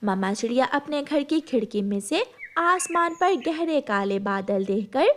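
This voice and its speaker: A woman's voice: sits high, lively intonation, unhurried, a little hiss or hum. A woman narrating a scripted children's story.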